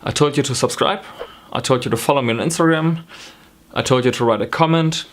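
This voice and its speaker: A man's voice: medium pitch, fairly quick, a little hiss or hum. Young german guy vlogging from his basement room, lots of ums, fixes his own english as he goes. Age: young adult. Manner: rambling and chatty, many ums, self-correcting. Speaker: knitting vlogger. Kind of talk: casual chat to camera. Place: small home basement room. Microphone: camera in front, close framing.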